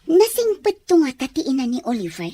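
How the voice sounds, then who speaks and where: Conniving, gleeful young friend, high voice, Ilocano accent, dry radio drama sound. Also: female voice, wildly swooping pitch, fairly quick, mellow, no echo. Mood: teasing.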